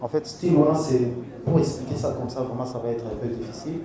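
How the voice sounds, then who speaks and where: A middle-aged man with a Congolese French accent answers questions at a press conference, hesitant and full of fillers. He sounds far from the recorder, in a very echoey hall. A crowd murmurs clearly behind him. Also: medium pitch, quick pace. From Democratic Republic of the Congo.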